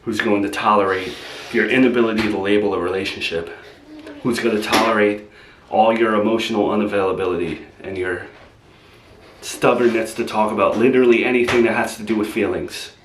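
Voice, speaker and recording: A man's voice: lowish voice, fairly even intonation, brisk, natural voice. A man playing a defensive, tactless young boyfriend who argues in a rising, exasperated way in a comedic skit. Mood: resentful. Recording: roomy, distant mic, faint hiss.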